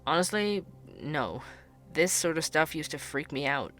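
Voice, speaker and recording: A man's voice: a little high, animated, unhurried, sharp tone. An actor plays an earnest young male podcast investigator in an audio drama. He is curious and a little wry, speaking conversationally with music underneath. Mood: frank, uneasy. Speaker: podcast host narrator. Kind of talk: audio drama narration and dialogue.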